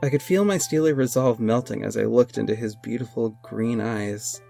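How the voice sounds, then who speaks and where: A man's voice: medium pitch, moderate pace, gravelly, warm tone, close to an everyday voice. An actor playing an earnest masked superhero who narrates his own adventures in an audio drama. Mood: earnest, dramatic. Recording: music under the voice. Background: music.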